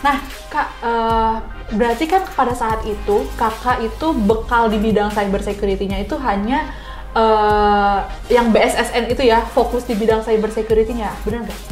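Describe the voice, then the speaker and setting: A woman's voice: a little high, steady tone, normal speed. A woman with a Jakarta Indonesian accent hosting a casual interview in a chatty, informal way, often seeking agreement. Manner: chatty, informal, frequently echoes answers back and seeks agreement. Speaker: podcast interviewer. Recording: roomy echo, music underneath. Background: music.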